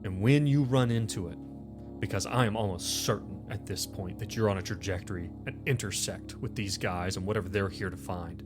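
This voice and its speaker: A man's voice: deep voice, fairly quick, over music, natural voice. A man voicing a tense, threatening, foul-mouthed woman agent in a tabletop game, clipped and insistent as she smokes. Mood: bitter, threatening. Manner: clipped, insistent, mumbling around a cigarette.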